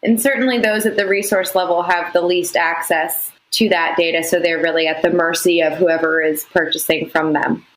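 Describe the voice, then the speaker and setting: A young woman, a company founder, answering interview questions in a measured, explanatory way with frequent "you know" fillers. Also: lowish voice, steady tone, reverberant, poor audio. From United States. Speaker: founder, podcast guest.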